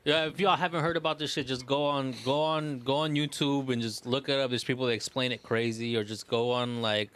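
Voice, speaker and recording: A man's voice: medium pitch, fairly even intonation, a little fast, hardly any pauses, fairly bright tone, slight background noise. A man co-hosting a casual podcast, talking in an emphatic, slangy way and often addressing the others as bro. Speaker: podcast co-host.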